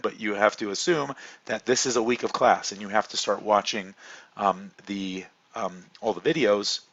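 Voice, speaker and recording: A man with a hoarse voice from a cold, a chemistry instructor giving a relaxed, rambling course introduction full of fillers. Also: fairly low pitch, highs cut off.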